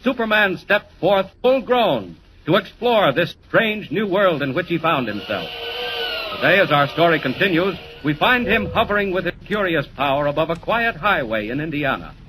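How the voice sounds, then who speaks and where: A man's voice: on the high side, sing-song, moderate pace, music in the background, low-quality recording. A man announcing and narrating a 1940s radio drama in a grand, dramatic delivery. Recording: hissy 1940s radio recording.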